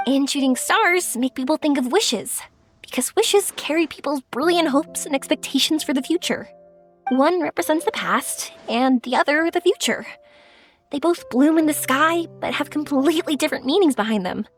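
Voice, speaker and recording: A bubbly, earnest young heroine in a video game. Her voice is high and animated, cheerful and sincere, with music underneath. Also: normal speed. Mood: earnest, bright. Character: cheerful, sincere young woman, festive fireworks maker. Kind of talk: video game character acting.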